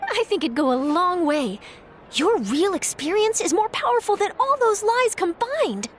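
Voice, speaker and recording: A woman voicing an earnest young reporter in a video game, gently persuading someone to go on the record. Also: extremely high pitch, sing-song, normal speed, heavy background noise.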